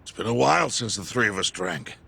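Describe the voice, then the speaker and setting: An actor playing a calm, paternal older crime boss in a video game, speaking with measured authority. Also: male voice, fairly low pitch, vocal fry, husky, heavily acted. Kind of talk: video game cutscene acting.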